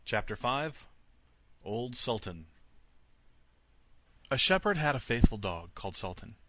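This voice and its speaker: A man reading a scripted fairy tale as an audiobook narrator, in an expressive, measured storytelling manner. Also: medium pitch, dry recording.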